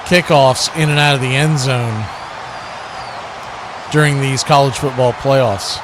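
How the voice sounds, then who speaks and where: A man's voice: mid-range voice, steady tone, measured pace. An American man giving live football play-by-play commentary in clipped short phrases. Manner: clipped short phrases calling each action. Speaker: play-by-play announcer for an online watch party stream. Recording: clear background noise.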